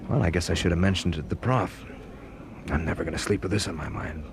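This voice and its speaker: A man's voice: sits low, fast talker, creaky. An actor playing a restless, curious young male university student in a radio drama, talking in an informal, colloquial way. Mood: restless, intrigued. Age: young adult. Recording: noisy archive radio drama recording.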